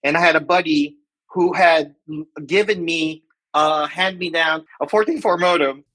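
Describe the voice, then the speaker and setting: Bright-voiced man from the Philippines. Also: on the high side, normal speed, low-quality recording. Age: 40s. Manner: reflective, with many fillers and restarts. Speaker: guest. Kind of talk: casual interview talk.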